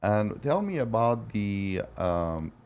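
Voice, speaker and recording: A man's voice: lowish voice, big pitch swings, slow, darkish tone, smooth, dull audio, faint music behind. A man hosting a podcast, asking short casual questions and often tacking on "actually.". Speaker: podcast host. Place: podcast studio.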